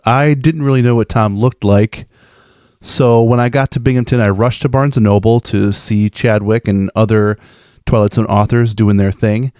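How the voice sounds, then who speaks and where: An American man, a podcaster giving fan feedback in a casual recorded message, talking in an excitable, gushing way. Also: fairly low pitch, no echo, clean studio sound. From Minnesota, USA.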